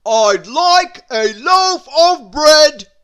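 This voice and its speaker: A woman voicing a very noisy cartoon man who bellows his words in a slow, booming shout. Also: lively intonation, exaggerated voice. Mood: loud, demanding.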